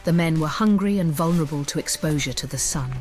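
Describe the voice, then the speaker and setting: Woman narrator, measured fantasy storytelling over music. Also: low voice, a little mellow. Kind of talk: scripted fantasy audiobook narration.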